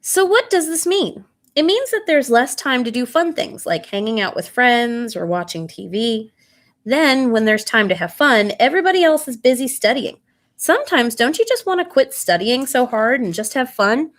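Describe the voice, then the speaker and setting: A woman reading a children's bedtime story aloud in an expressive, animated way, putting questions to young listeners. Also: fairly high pitch, moderate pace.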